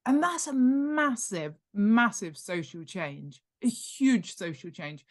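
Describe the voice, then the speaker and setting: A middle-aged woman with a British accent explains her subject as a podcast guest. She speaks conversationally at a medium pitch and leans on repeated words for emphasis. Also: animated, takes it slowly. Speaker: guest, author and world-building expert.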